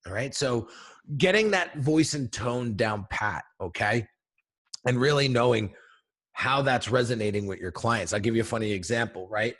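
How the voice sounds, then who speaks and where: A man with a deep, somewhat husky voice teaches viewers in a lively, emphatic way, constantly checking in with them. His pitch sits in an ordinary middle range, and he leans hard into key phrases. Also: normal speed. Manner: energetic, emphatic, addresses viewers directly with frequent "right?" and "okay?". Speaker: brand coach. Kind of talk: instructional video talk.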